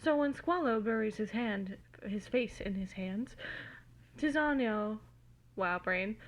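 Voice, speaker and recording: A woman giving an amateur, theatrical and giggly dramatic reading of fan fiction, recorded in low quality on a phone. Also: low-quality recording. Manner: giggly, theatrical, easily cracking up.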